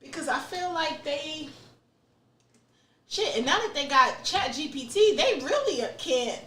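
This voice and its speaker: A woman's voice: high-pitched, sing-song, a little slow, fairly bright tone, recorded from a distance. Young woman podcast host, candid and unfiltered, talking a bit back from the mic in an echoey room. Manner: candid, swears freely, thinks aloud. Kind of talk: casual chat.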